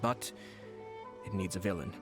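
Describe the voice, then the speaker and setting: A composed noble commissioner with a low voice and music playing clearly behind him. He is courteous and eloquent, laying out every phrase in a formal, measured way, like a calm schemer in a video game. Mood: calm, calculating.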